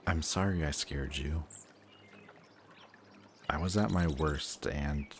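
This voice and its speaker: Man acting as male reformed antihero, extremely deep voice, remorseful, affectionate, hesitant confiding delivery, intimate roleplay audio, some background noise. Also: takes it slowly, full character voice. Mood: remorseful, sincere. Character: reformed antihero best friend, remorseful, affectionate, emotionally open.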